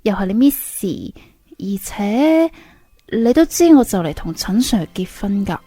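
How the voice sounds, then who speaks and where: A woman's voice: medium pitch, very animated, measured pace, dark tone, dry recording. A woman acting in a Cantonese radio drama as a conflicted 24-year-old teacher, with a Hong Kong accent. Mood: teasing. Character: 24-year-old female teacher, engaged, conflicted, secretly involved with student.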